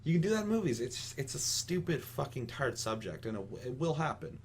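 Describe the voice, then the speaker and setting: A man's voice: medium pitch, very animated, brisk. Opinionated man swearing over a noisy hum. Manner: profane, emphatic rambling. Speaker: podcast host. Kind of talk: casual opinionated chat. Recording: clearly audible hum and noise.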